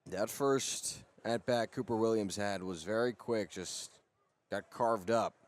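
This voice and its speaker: A man's voice: on the low side, slow. A man giving baseball color commentary as an analyst alongside a play-by-play announcer.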